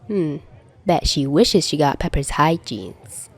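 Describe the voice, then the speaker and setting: A woman's voice: deep voice, lively intonation, slow, breathy edge, full character voice. A woman voicing a cheeky, easygoing teenage boy with a playful, informal manner in an audiobook story. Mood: casual.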